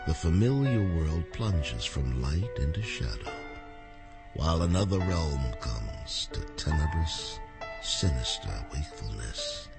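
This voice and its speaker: Ominous 1940s radio horror narrator, male, extremely deep, slow and grave, music loud underneath. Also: big pitch swings, vocal fry, exaggerated voice. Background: loud dramatic music.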